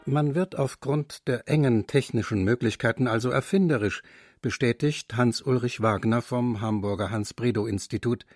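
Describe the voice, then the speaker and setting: A man reading the narration of a radio documentary feature in a measured, clear way. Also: medium pitch, background noise.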